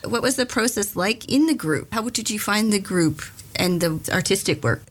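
A woman's voice: medium pitch, lively intonation, moderate pace. A woman interviewing a young writer, asking encouraging questions and voicing her admiration.

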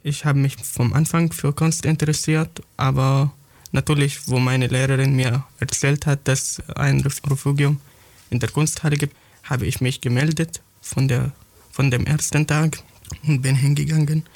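Gravelly teenage boy in learner German. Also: medium pitch, level tone, darkish tone, no echo. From Syria. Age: teens. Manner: halting, searching for words, non-native grammar. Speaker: young student writer interviewed. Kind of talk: interview answers in learner German.

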